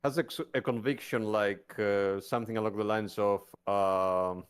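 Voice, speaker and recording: A man's voice: lowish voice, fairly even intonation, moderate pace, clean tone. A man chatting casually as a player in a tabletop roleplaying game, hesitant and searching for his words.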